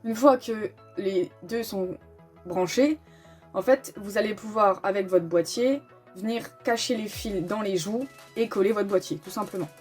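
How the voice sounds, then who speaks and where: A woman's voice: mid-range voice, unhurried, darkish tone. A woman hosting a casual, informal step-by-step tutorial, explaining as she goes and announcing each step. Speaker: host of a motorcycle gear tutorial. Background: music.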